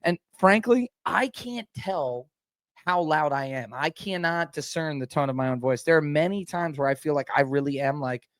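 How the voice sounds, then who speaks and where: Man from Baltimore, loud booming voice, animated teasing, somewhat high pitch, dry close sound. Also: normal speed, no room sound. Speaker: podcast co-host. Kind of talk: casual chat.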